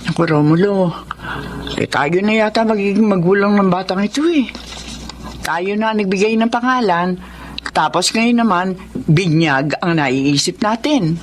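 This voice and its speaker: A Filipino actress playing a practical, frank middle-aged wife in a Tagalog radio drama. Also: female voice, mid-range voice, a little slow, a little hiss or hum, natural voice. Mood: concerned, frank.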